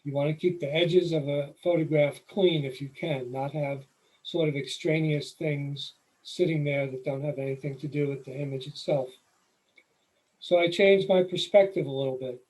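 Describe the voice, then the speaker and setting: A man's voice: fairly high pitch, normal speed, low-quality recording. New York man teaching photography. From New York City. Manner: relaxed, explanatory, with occasional fillers. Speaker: photography presenter. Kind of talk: slide presentation lecture.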